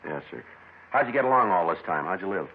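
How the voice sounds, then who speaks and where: An actor playing a terse, deadpan police detective who questions a suspect in businesslike fashion, in 1950s radio drama style. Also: male voice, sits low, quick pace, breathy edge, heavily acted. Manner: clipped, deadpan, procedural. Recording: tinny, noisy 1950s radio recording.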